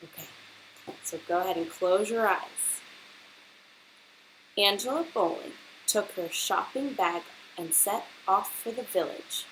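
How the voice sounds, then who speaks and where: A woman reads aloud to a class of children at an unhurried, slow pace. She explains and prompts like a teacher, over a faint bed of hiss. Her pitch sits in an ordinary female range. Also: a bit bright, poor audio. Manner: instructional, pausing to prompt young listeners. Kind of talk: picture-book read-aloud with questions to children.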